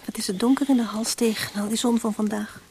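A woman's voice: fairly high pitch, steady tone, quick pace, darkish tone, slight background noise, lightly acted. An actress playing a shy, devoted young woman in love in a radio drama, hesitant and often close to a whisper. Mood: shy, anxious. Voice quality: breathy, often near whisper. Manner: hesitant, timid.

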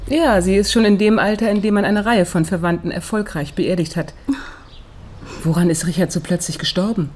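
An actress playing a worldly middle-aged woman doctor in a radio crime drama, a blunt, brisk friend with a sardonic, teasing manner. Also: lowish voice, a little mellow, natural voice.

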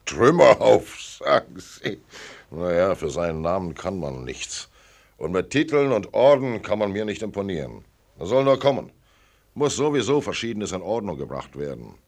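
Gravelly, gruff old tycoon. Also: male voice, sits low, very animated, normal speed, full character voice. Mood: blunt, businesslike. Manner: blunt, grumbling, dismissive. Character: gruff, lonely billionaire father from America, blunt and practical. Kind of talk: German radio play acting.

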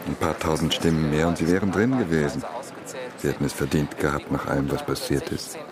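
Earnest journalist in a radio drama, really deep voice, low-key and probing, crowd murmur behind him. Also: male voice, fairly even intonation, a little fast. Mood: sympathetic. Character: earnest young-looking male journalist, probing, then apologetic. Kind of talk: German radio crime drama acting.

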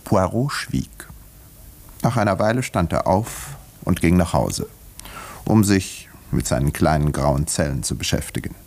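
Radio drama: composed, wry country doctor narrating a whodunit; low voice, lively intonation, educated delivery. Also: male voice, measured pace, gravelly, exaggerated voice. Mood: measured narration. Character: village doctor, wry male narrator of a murder mystery. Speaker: narrator and character in radio play. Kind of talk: 1950s German radio drama, measured storytelling.

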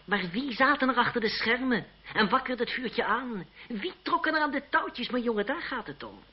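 An actor playing a cynical, witty young French lawyer in a radio drama, with an ironic, condescending, urbane manner. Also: male voice, very high-pitched, animated, moderate pace, husky, heavily acted. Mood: scornful, insistent. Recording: muffled, hissy old radio drama recording.

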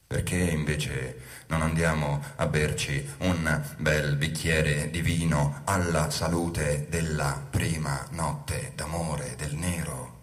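A man's voice: extremely low pitch, level tone. An actor playing a sly male villain who tempts and goads in an insinuating way, in an experimental stage drama. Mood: insinuating, tempting. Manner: slow, insinuating.

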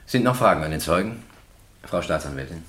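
A man acting a formal, polite presiding judge who runs a trial in measured phrases, in a radio drama. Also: deep voice, lively intonation, a bit bright. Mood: formal, businesslike. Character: courteous, orderly male presiding judge running a trial.

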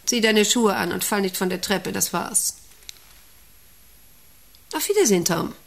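An actress in a satirical radio play playing an eccentric, domineering, volatile company director, theatrical and commanding. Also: female voice, mid-range voice, a little slow, vocal fry. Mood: cool, controlled. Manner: commanding, theatrical, swinging from hushed menace to excited orders. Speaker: radio drama actor.

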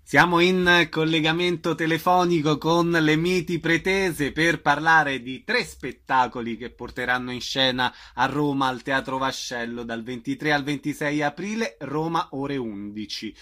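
Bright, higher-pitched male radio host. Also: unhurried, hardly any pauses, faint music behind. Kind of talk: radio interview, announcing events.

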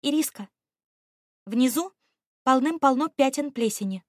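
A bold, boisterous young adventurer girl from a children's storybook, acted by a woman. She speaks high and confidently, with lively, exclamatory swings in pitch. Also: unhurried, dry recording, studio quality. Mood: earnest.

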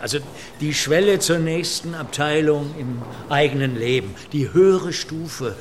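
An actor playing an assured, gently teasing art curator in a conversational radio drama, speaking deliberately with pondering interjections. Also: male voice, fairly high pitch, slight background noise, close to an everyday voice. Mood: musing.

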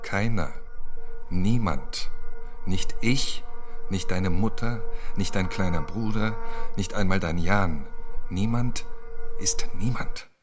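An actor playing a stern, guarded professor and father in a radio drama, speaking with deliberate authority. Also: sits low, music in the background. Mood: stern, emphatic.

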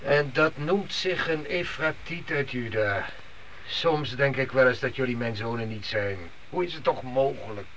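Radio-play patriarch: solemn, strict, sharp-edged voice, faint background noise. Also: male voice, mid-range voice, unhurried. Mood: reproachful. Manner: commanding, solemn. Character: stern, devout father leading his family through the desert. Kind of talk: Dutch radio play acting. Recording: noisy old radio drama recording.

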